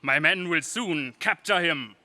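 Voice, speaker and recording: A man playing a cruel, imperious alien emperor in a 1930s radio serial style, with a menacing, pompous, drawn-out delivery. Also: on the high side, pushed and bright, no echo. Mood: gloating menace.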